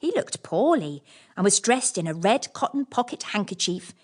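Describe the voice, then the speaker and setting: A woman narrating a children's audiobook as scripted storytelling, in an expressive manner. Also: mid-range voice, moderate pace.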